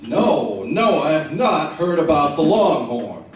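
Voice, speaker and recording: A man playing a steady, dry-witted western marshal who talks in a hesitant, folksy way in a radio drama. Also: on the high side, slow pace. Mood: wry. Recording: distant, very echoey stage-like sound.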